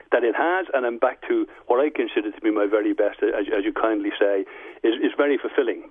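A man in his sixties with a Dublin Irish accent, speaking as a guest in a reflective radio interview, measured and often repeating words as he restarts. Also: lowish voice, breathy edge, no echo, phone-quality sound. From Dublin, Ireland. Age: 60s. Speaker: guest, former footballer and manager.

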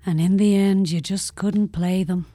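A woman playing a sardonic female prisoner in an audio drama, speaking in a deadpan, needling way. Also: medium pitch, unhurried, no echo, soft background music, close to an everyday voice. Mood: dry mockery.

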